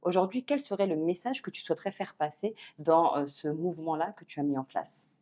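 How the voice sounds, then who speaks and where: A woman's voice: low-pitched, animated, fairly quick, dark tone. A woman hosting a talk show, putting questions to a guest in a conversational interview style.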